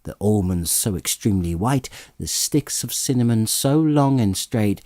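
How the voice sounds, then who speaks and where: A man's voice: on the low side, animated, normal speed, warm tone, dry recording, studio quality. A man narrating a classic literary story as an audiobook, theatrically savoring each descriptive phrase.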